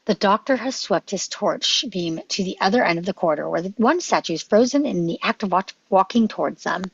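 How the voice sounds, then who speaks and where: A woman's voice: mid-range voice, animated, fairly quick, creaky, husky, no echo. A woman reading stage directions as dramatic scripted narration at a table read. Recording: dull, dry lo-fi microphone.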